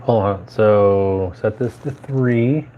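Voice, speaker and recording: Low-voiced man chatting casually on a stream. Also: slow pace, lo-fi sound, slight background noise. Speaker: live streamer setting up a podcast room. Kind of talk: casual live stream chat, thinking aloud while following a tutorial.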